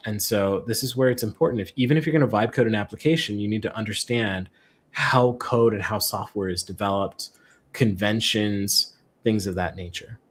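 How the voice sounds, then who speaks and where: A man who is a guest expert, explaining in a relaxed way with many fillers and acted-out quotes. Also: on the low side, moderate pace, slightly rough. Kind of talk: casual explanatory chat.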